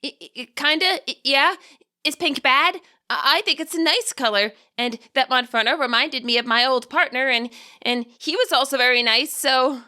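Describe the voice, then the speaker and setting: A woman puts on a nervous middle-aged male spirit for a fantasy audio story. The voice is pitched high and bright, with a cautious, apologetic stammer. He comes across as friendly and unsure of himself. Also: normal speed. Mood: sheepish, stammering.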